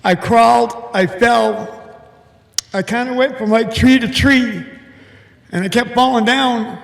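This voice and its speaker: An older man with a big, booming voice giving an earnest, halting personal testimony to a church congregation over a mic. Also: vocal fry. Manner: earnest, halting, emotional storytelling. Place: church hall. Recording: echoey hall with long reverb.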